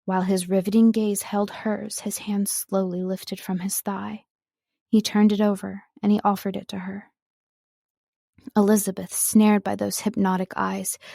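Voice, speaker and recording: A woman narrator reads a prepared script in a measured, carefully enunciated way. Her voice sits in a middle register with a noticeable creak, and the recording is dry and close. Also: medium pitch, mellow, no room sound. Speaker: voice-over artist and audiobook narrator.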